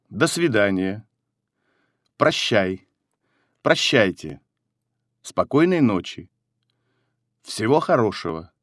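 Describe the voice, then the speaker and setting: A man reads language-course phrases at an unhurried, measured pace, each one spoken carefully and clearly. His intonation moves up and down a lot from phrase to phrase, and the recording is close and dry with no room sound. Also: lowish voice, no room sound. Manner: deliberate, carefully enunciated with pauses between phrases. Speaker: phrasebook reader.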